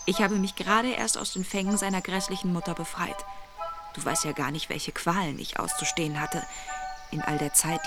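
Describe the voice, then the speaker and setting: A woman's voice: on the low side, natural voice. An actress playing a defiant, jealous young society lady who complains snippily, in a German radio play.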